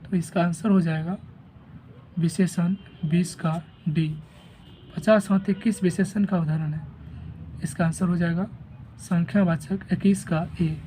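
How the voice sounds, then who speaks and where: Guy with a scratchy sore throat reading out exam answers one by one, low mellow tone, bit of hiss. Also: unhurried, long pauses. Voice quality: rough voice. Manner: steady, repetitive, reads each question then gives the answer and option. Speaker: teacher going over an exam answer key.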